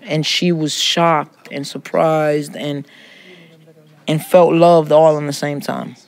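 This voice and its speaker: A man's voice: on the high side, flat delivery, slow, creaky. A man speaking candidly and personally in a conversational discussion. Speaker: prison poetry student.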